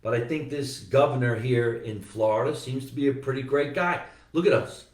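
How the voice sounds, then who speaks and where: A man's voice: mid-range voice, moderate pace, roomy sound, distant mic. A man hosting a comedy podcast, rambling in casual chat with joking asides. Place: room in Florida.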